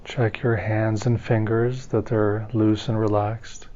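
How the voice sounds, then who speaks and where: Low, mellow man guiding meditation. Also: highs cut off. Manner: calm, gentle, unhurried guiding. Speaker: meditation teacher. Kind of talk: guided meditation instruction.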